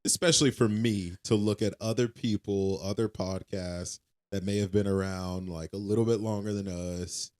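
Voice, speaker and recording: A young man with a very low voice talking earnestly on a podcast. He sounds reassuring and circles back over his own words as he thinks aloud. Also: fairly even intonation, normal speed, a little mellow. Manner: earnest, reassuring, repeats himself. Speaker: podcast co-host. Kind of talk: casual faith-based podcast chat.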